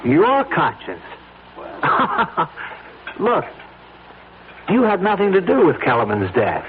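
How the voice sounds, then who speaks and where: A curt, cold conspirator, tinny sound. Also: male voice, sits high, wildly swooping pitch, slow, exaggerated voice. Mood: dismissive, scornful. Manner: brusque, clipped, dismissive. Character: curt, cold, guarded male conspirator in a murder. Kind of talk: old-time radio drama acting. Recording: tinny, narrow radio recording with noise.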